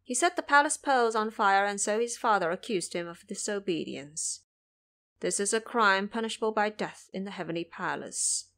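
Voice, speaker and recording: A dignified goddess of mercy, played by a woman in an audio drama. She speaks in a stately way with quiet authority. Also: mid-range voice, animated, normal speed. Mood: stern, resolved. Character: dignified, authoritative female Bodhisattva, merciful deity. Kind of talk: audio drama character acting.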